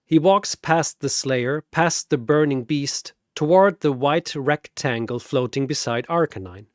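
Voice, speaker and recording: A man's voice: fairly high pitch, animated, unhurried, no room sound, studio quality. A man narrating a scripted fantasy battle story in a dramatic storytelling style.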